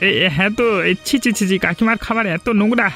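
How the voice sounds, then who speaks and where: A man voicing an excitable, scheming young village husband in a cartoon, wheedling and quick. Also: high voice. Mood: mock outrage. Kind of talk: cartoon dubbing. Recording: faint music behind.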